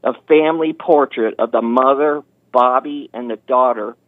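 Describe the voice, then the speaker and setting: A man's voice: mid-range voice, moderate pace, no room sound. Man in his fifties on a phone line. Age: 50s. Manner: folksy, animated storyteller acting out quoted voices. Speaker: caller. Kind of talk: casual storytelling on a call-in show.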